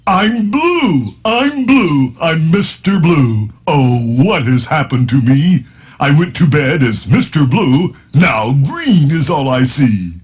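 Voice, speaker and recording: A man voicing a distressed, excitable storybook color character, plaintive and exclaiming in a sing-song way, with a husky, strained voice. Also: fairly high pitch, slow, exaggerated voice. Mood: upset, wailing. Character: distressed Mister Blue, a color character turned green, excitable male.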